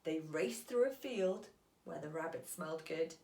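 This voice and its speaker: A woman reading a children's storybook aloud as a teacher, in an expressive, deliberate storytelling manner. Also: sits low, echoey room.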